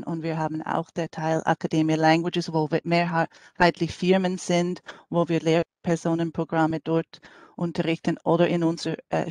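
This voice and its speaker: American woman speaking halting German. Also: low voice, darkish tone, no echo. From New York City. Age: middle-aged. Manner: hesitant, many fillers, non-native German phrasing. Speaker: language school director. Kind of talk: online conference presentation.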